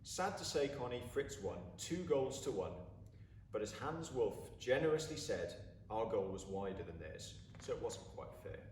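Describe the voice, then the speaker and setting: A man reading a story aloud with expressive, deliberate, dramatic phrasing. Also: medium pitch. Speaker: story reader. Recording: roomy, distant mic, faint background noise.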